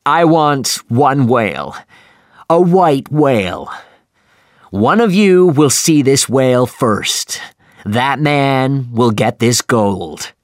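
A man voicing an obsessed, raging one-legged whaling captain who booms his lines slowly and loudly, read from a storybook. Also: lively intonation, no room sound, studio quality. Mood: commanding. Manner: loud booming declarations, drawn-out words.

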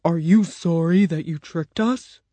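A woman voicing an earnest, plain-spoken, reproachful male straw man in a storybook, measured and emphatic. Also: on the low side, dark tone, no echo. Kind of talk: storybook character voice.

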